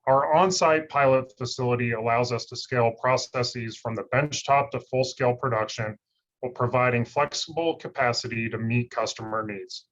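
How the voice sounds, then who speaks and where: Man, monotone read-through, company talk over a slightly dull call line. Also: medium pitch, moderate pace. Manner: monotone, steady reading. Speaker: industry research scientist presenting. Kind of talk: scripted webinar presentation. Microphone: video call.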